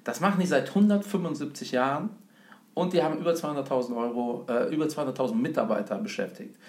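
A man's voice: mid-range voice, quick pace. A man from Germany explaining investing in a casual conversation, with many fillers and examples. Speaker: investment speaker, guest. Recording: roomy echo.

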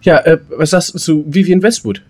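A man chatting casually as a podcast co-host, colloquial and halting, rambling from thought to thought. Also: a little high, steady tone, slightly rough. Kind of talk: casual, unscripted podcast chat.

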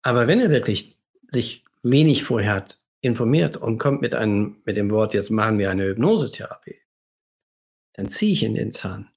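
A man's voice: fairly low pitch, lively intonation. Scratchy-voiced man explaining emphatically. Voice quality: scratchy, husky voice. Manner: emphatic, drawn-out key phrases, rhetorical questions. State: slightly under the weather. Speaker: hypnotherapist hosting a livestream. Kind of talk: explanatory livestream monologue.

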